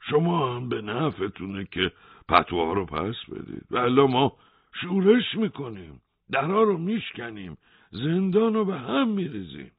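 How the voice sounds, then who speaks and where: A man's voice: medium pitch, sing-song, breathy edge, no echo, phone-quality sound, voice pushed up. A man voicing a defiant elderly male cleric in prison, raised and insistent. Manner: pleading then threatening, raised voice.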